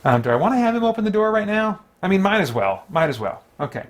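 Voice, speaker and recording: A man with a voice pitched a little above average hosts a solo tabletop game. He talks casually and without a script, thinking aloud in a halting way as he narrates his own play to himself and the viewer. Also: big pitch swings.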